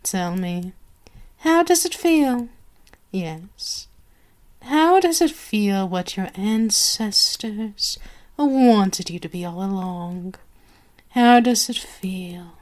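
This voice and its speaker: A woman voicing a sadistic, possessive vampire queen in an ASMR roleplay, with a haughty, teasing, drawn-out delivery. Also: mid-range voice, lively intonation. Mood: gloating.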